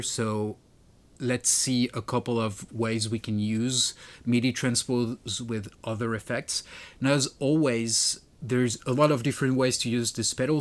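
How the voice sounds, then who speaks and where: A man presenting a music gear tutorial in a casual, explanatory way. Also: medium pitch. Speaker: presenter demonstrating a music pedal. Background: keyboard and synth demo notes.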